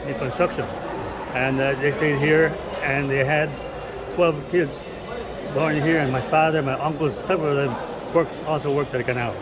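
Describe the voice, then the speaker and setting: A man's voice: on the high side, steady tone, moderate pace, a loud crowd, poor audio. An older man from Panama, a retired canal manager, speaking plainly and in a friendly way.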